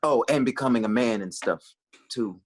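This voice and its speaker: A man's voice: medium pitch, normal speed, breathy edge, natural voice. A naive, earnest young man played by an actor with a mid-range voice. He is in a sitcom table read held over a video call. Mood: earnest, excited.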